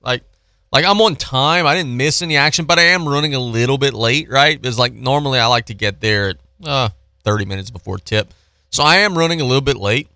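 Bright-voiced male sports radio host. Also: mid-range voice, fairly quick, dry recording. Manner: informal, slangy, animated storytelling with repetition. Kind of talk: casual, chatty live radio sports talk. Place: radio studio. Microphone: close studio microphone.

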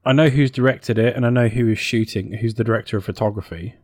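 A man's voice: mid-range voice, steady tone, fast talker, crowd noise. A man co-hosting a podcast, chatting casually and hesitantly as he thinks aloud. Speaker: podcast co-host. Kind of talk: casual chat about games and films.

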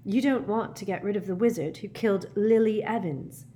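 A woman voicing a quiet, determined teenage boy wizard in a storybook, steady and insistent. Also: medium pitch, moderate pace, pitched above the performer's own voice, close to an everyday voice. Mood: calm, determined.

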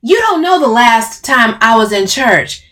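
Woman acting out Camille, an overbearing, loud, furious woman. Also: a little high, animated, takes it slowly, voice pushed up. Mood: indignant. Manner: bellowing, emphatic, confrontational. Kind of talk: dramatic audiobook dialogue.

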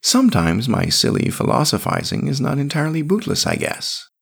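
A man's voice: mid-range voice, wildly swooping pitch, brisk, gravelly, slightly rough, pitched above the performer's own voice, full character voice. A man voicing a smug, wordy man in a story who is pleased with his own philosophizing. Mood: pleased, musing.

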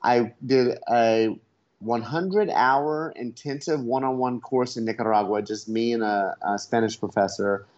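A man's voice: mid-range voice, measured pace, darkish tone, highs cut off. A man on a remote call as a podcast guest who blogs about food, chatting casually and laughing at himself. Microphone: Skype call.

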